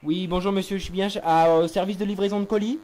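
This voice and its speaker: A man's voice: sits high, fairly even intonation, fast talker, background noise. A young man improvising a prank phone call, feigning seriousness in a hesitant but insistent way. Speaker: prank caller.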